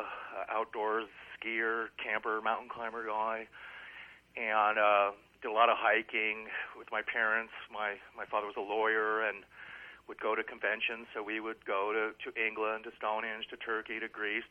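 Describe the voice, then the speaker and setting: An older American man, a guest author in a phone interview, talking in an animated, emphatic, rambling way with many fillers. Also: on the low side, measured pace. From United States. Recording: tinny phone line.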